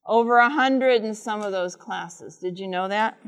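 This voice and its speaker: A middle-aged woman with a loud voice speaks live to a conference audience, teaching and asking them frequent questions. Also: medium pitch, normal speed. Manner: says she has a loud voice. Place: large conference room with air conditioner.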